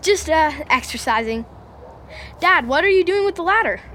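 A woman's voice: very high-pitched, expressive, moderate pace, pushed and bright, a little hiss or hum, full character voice. A woman playing an earnest, inquisitive young boy, a son, in an audio drama, with a youthful voice. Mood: anxious, urgent.

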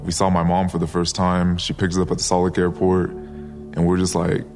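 A man's voice: low-pitched, completely flat delivery, normal speed, a little mellow, long reverb tail, faint music behind. A young man from Liberia who moved to the US as a child, a college athlete talking casually in a documentary interview. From born in Liberia, moved to the US at five. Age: young adult. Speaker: college football player.

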